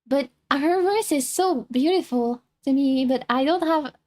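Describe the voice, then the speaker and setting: French-accented young woman vtuber, highish voice, rambly and unsure of herself. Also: a little slow, a little mellow. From France. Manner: self-conscious, rambling, with many restarts. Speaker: VTuber streamer reacting to a video. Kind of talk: casual chat.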